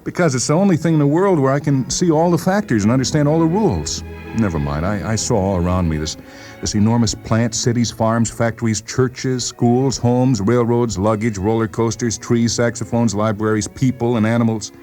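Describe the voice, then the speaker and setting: A man playing an agitated, paranoid patient in a dramatized story, insistent, halting and repeating himself. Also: medium pitch, darkish tone, soft background music, lightly acted. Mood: scornful. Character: agitated paranoid male patient convinced the world conspires against him. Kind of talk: dramatized short story reading.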